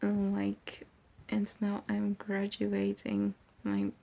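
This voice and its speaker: A woman's voice: mid-range voice, completely flat delivery, slow, gravelly, dark tone. A woman, a student vlogger chatting casually in a rambling, informal way. is her language. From Poland. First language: Polish. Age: early 20s. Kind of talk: casual chat.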